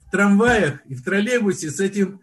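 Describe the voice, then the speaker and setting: Older man, husky high voice. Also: animated, fairly quick. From Soviet Union. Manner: reminiscing, with frequent restarts and word searches. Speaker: film director, interview guest. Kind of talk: unhurried storytelling in conversation.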